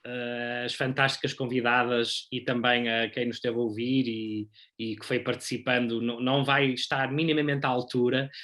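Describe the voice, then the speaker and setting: Bright, even-toned male moderator. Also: medium pitch. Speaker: panel moderator. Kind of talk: moderating a live discussion, informal summary.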